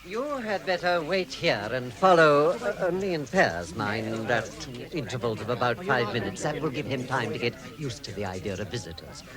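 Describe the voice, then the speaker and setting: Actor as an animated, wily old wizard. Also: male voice, mid-range voice, moderate pace, hardly any pauses, crowd noise, close to an everyday voice. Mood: brisk, instructing. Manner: hesitant diplomacy, throat-clearing, theatrical. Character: old wizard, courteous, wily, authoritative storyteller. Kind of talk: 1960s radio drama acting. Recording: vintage radio production with music.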